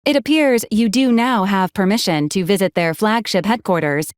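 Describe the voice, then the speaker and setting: A woman's voice: mid-range voice, moderate pace, smooth, dry recording, studio quality, natural voice. An actress playing a dutiful female robot combat assistant in a video game, with a formal, clipped, clinical and coldly polite delivery. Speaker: robotic companion to a commander. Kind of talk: scripted video game character lines.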